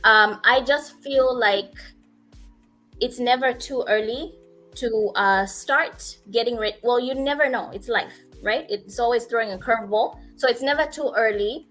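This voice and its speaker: A woman co-host talks earnestly, her voice bright and crisp. She pauses and hedges as she thinks aloud. Faint music plays behind her. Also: fairly high pitch. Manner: thoughtful, earnest, hesitant with fillers. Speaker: talk show co-host. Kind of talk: casual talk show chat.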